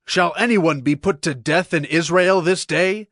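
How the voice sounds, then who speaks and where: A man's voice: on the high side, measured pace, fairly bright tone, dry recording, studio quality. A man playing a passionate, commanding ancient king in a dramatized scripture, declaiming with emotion. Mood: indignant authority. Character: grieving, commanding king of Israel, passionate father. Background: music.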